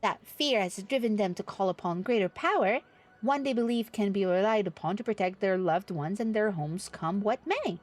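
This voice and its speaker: A woman voicing a grave, thoughtful counsellor in a fantasy game, speaking in a measured, formal and solemn way. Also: medium pitch, natural voice. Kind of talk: reading fantasy game dialogue aloud.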